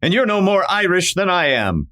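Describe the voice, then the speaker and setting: Radio western hero played by a man: upright masked lawman, highish voice, very expressive, stern declarations. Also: normal speed, exaggerated voice. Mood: stern accusing. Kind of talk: old-time western radio acting.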